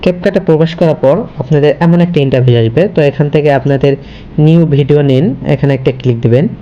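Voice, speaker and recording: A man's voice: a little high, fast talker, muffled recording, a little hiss or hum. A man hosting a casual step-by-step screen tutorial, linking his sentences repetitively with the same connective word. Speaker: tutorial host.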